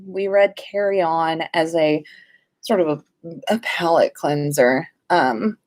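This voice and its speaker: Female livestream host, unhurried, medium pitch, informal and playful chat. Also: a little mellow. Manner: playful, sing-song at times; informal, swears casually.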